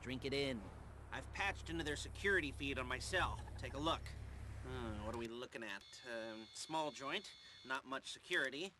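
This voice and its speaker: A man acting a nerdy heist planner over loud car noise. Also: mid-range voice, moderate pace, natural voice. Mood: wry briefing. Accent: American. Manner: rambling, sardonic, awkward muttered asides and chuckles. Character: nerdy, snarky, awkward middle-aged criminal heist planner. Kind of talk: video game cutscene dialogue.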